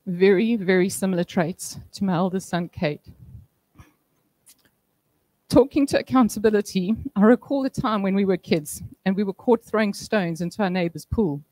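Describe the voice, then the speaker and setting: A woman delivers a heartfelt eulogy for her father, mixing warm memories with gentle humor. Her voice sits mid-range and sounds close and dry through a handheld microphone. She speaks in an indoor function room. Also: mid-range voice, moderate pace, no room sound, poor audio. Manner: heartfelt, with light humor and soft laughs. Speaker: daughter giving a eulogy.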